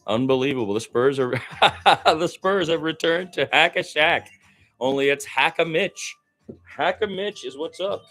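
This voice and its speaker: A man's voice: on the high side, unhurried, a bit bright. A man doing live radio play-by-play of basketball, describing the action in running detail with short exclamations and asides.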